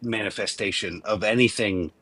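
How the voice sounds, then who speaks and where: A man's voice: fairly low pitch, normal speed, bright, forceful tone, no room sound. A man in his late thirties, from Venezuela, talking earnestly and emphatically in a casual debate, often restarting his sentences. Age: 30s.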